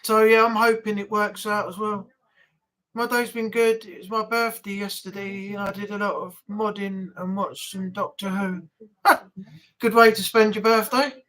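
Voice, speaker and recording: A woman's voice: mid-range voice, steady tone, unhurried, fairly bright tone, low-quality recording. A woman hosting a casual weekly livestream chat, talking to viewers in a chatty, rambling way. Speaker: livestream host.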